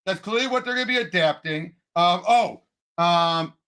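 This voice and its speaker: A man co-hosting a podcast, discussing a movie casually in an excitable, emphatic fan's way. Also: sits high, normal speed, clean tone. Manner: excitable, emphatic fan talk. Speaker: podcast co-host.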